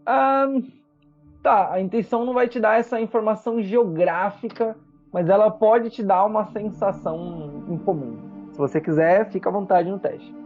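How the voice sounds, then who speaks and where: A game master improvising narration for a tabletop role-playing game, explaining things in halting phrases. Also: medium pitch, a little mellow, dull audio, faint music behind.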